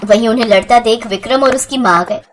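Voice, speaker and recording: A woman's voice: on the high side, fast talker, slight background noise. A woman narrating a scripted family moral story.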